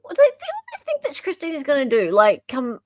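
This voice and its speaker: A woman's voice: high-pitched, wildly swooping pitch, brisk, darkish tone, no echo. A woman co-host chatting casually, breaking in with short, incredulous questions and reactions. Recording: slightly dull, lo-fi audio.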